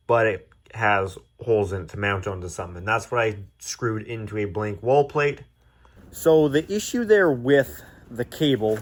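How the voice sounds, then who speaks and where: Male hobbyist presenter, mid-pitched, informal and rambling, candid about what he doesn't know. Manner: rambling, self-deprecating, candid. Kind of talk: casual off-the-cuff explainer.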